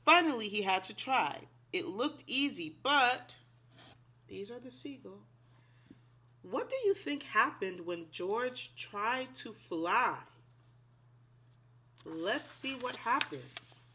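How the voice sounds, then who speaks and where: A woman's voice: on the high side, animated, slow, pauses often, sharp tone, slightly rough. A woman reading a children's picture book aloud expressively, in an instructive way, asking young listeners simple questions. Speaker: read-aloud storyteller, teacher.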